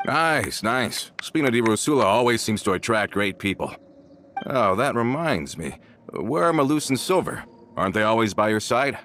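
Low-voiced, earnest loyal gang member. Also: male voice, sing-song, normal speed, a bit bright, a little hiss or hum. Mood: curious, friendly. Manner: earnest, questioning, hesitant when shocked. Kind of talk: video game character dialogue.

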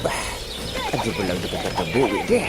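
Comic audio drama: puzzled old village man, acted by a man, lively swooping pitch, loud music behind. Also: a little fast. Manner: exclamatory, thinking aloud. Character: puzzled old village man Miloy, weighing two lookalikes.

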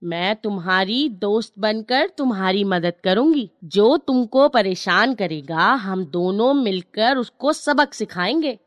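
A woman voicing a short, kindly, sorrowful witch ghost in a cartoon story, speaking in a measured, reassuring way. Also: mid-range voice, lively intonation, no room sound. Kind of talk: Hindi cartoon story acting.

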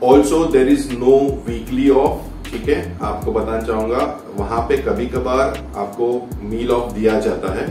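A young man vlogging about cruise ship jobs in casual, instructive talk, switching between Hindi and English and often checking in with "theek hai". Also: mid-range voice. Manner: mixes Hindi and English, repeats "ठीक है" and "आपको बताना चाहूंगा". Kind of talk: informal instructional talk to camera. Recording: roomy echo, distant mic, faint music behind.

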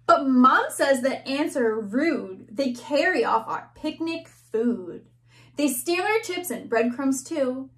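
A woman reading a picture book aloud, voicing a boastful young boy who taunts an ant in slow rhymes. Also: high-pitched, animated. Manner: taunting, slow rhyming delivery.

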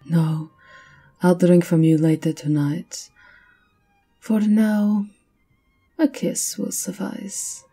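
Lady doing a cruel old vampire countess for asmr, lowish and husky, imperious, teasing, kinda whispery. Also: mellow. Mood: seductive, possessive. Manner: imperious, slow, teasing, often dropping to breathy whispers. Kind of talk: ASMR roleplay monologue. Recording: violin music behind the voice.

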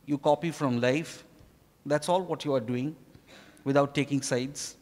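A middle-aged man with an Indian accent, speaking as an interviewed author in deliberate, halting, emphatic phrases. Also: medium pitch, fairly even intonation, darkish tone. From Kolkata, India. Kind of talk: reflective on-stage interview answers. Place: large hotel ballroom stage. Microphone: handheld microphone. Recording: echoey hall PA with long reverb.

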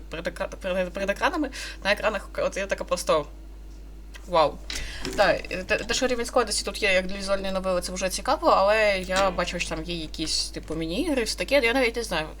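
Fast-talking young woman doing game commentary. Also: mid-range voice, a bit bright, slight background noise. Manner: hesitant, rambling, self-correcting. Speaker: gaming video host. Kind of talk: casual let's-play commentary, reading game dialogue aloud.

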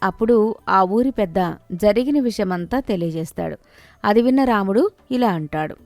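A woman's voice: mid-range voice, animated, normal speed, no room sound. A woman reading a scripted moral story as its storyteller. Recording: music behind the voice.

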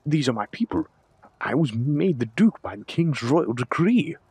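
A man playing a cowardly, entitled duke in an audio drama, with a husky voice and a stammering, pompous, pleading manner. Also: mid-range voice, very animated, moderate pace, a little mellow, dry recording, exaggerated voice. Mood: nervous, indignant. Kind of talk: scripted villain roleplay audio drama.

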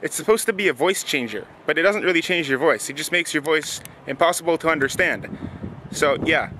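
A teenage man with a fairly high voice hosts a casual homemade vlog. He talks in an offhand, informal way into a camera outdoors, with clear noise and clicks in the recording. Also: lively intonation, brisk, pushed and bright, rough recording. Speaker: host of an online video series. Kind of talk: casual vlog chat and demonstration. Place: outdoors in a snowy yard. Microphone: handheld camera at arm's length or set nearby.

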